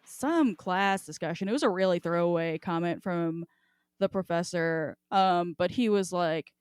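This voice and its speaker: A woman's voice: fairly low pitch, measured pace, clean tone, no echo. Casual, rambling woman podcast host. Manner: rambling, informal, swears freely. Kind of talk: casual chat, book discussion.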